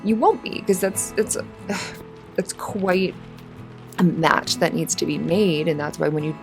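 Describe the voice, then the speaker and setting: Woman acting a creaky-voiced, heartbroken, self-doubting woman. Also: lowish voice, soft background music. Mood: anxious, rambling. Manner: halting, stammering, rambling, sighing. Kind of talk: emotional audio roleplay acting.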